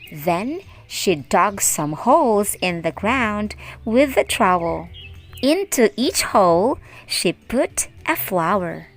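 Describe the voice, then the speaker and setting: A woman teacher narrating a children's storybook aloud in a deliberate, expressive way. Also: mid-range voice. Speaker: teacher reading stories aloud.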